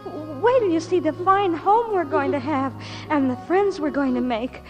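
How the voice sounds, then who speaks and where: An actress playing a caring, soothing young big sister in a 1940s radio drama, coaxing and comforting. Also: female voice, high-pitched, normal speed, lo-fi sound, soft background music, lightly acted. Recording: old radio broadcast.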